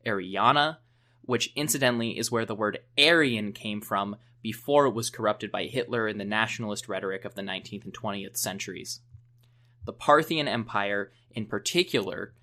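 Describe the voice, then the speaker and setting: A young man hosts a history podcast with a bright, crisp voice. He reads from a script at a middle pitch in a measured, explanatory way. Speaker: podcast host. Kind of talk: scripted narration.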